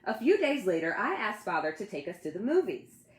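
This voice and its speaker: A woman reads a picture book aloud as a children's author at storytime. Her delivery is animated and dramatic, with the pitch moving freely. The sound is roomy and echoey. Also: fairly quick.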